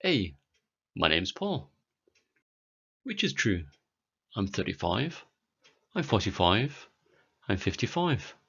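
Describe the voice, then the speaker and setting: A British man in his forties teaching a beginner English lesson, speaking clearly and deliberately for learners. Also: on the low side, very animated, a bit bright, lo-fi sound. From Britain. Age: 40s.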